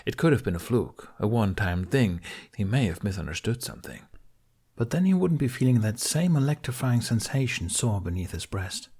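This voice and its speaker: A man's voice: on the low side, sing-song, normal speed, a little mellow, breathy edge. A man reading an audiobook of fantasy fiction as a dramatic, intense narrator.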